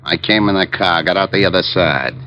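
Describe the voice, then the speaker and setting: A man's voice: sits low, brisk, sharp tone. An actor playing a menacing gangster in an old radio crime drama, mock-friendly and cruelly playful in slangy tough-guy talk. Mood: taunting menace. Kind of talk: 1940s-50s radio crime acting. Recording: muffled, noisy old radio recording.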